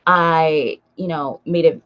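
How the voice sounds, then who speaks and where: A woman's voice: low voice, takes it slowly, highs cut off. A woman with an American accent chatting casually on a livestream, speaking emphatically and repeating phrases for stress. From Nigeria until age seven, then raised in the United States. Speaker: book vlogger and writer hosting a livestream. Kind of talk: casual livestream chat, responding to comments.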